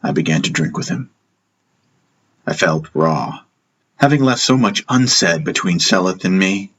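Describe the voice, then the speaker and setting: A man narrating a first-person fantasy audiobook in a measured, dramatic storytelling style. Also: sits low, animated, fairly bright tone, breathy edge.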